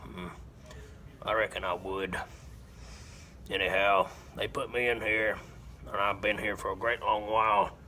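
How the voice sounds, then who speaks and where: Halting, low-voiced Southern simpleton. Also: male voice, slight background noise. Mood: grim, resigned. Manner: halting, deadpan, punctuated by grunted hums. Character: slow, simple-minded rural Southern man confessing killings flatly. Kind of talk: dramatic film monologue rendition.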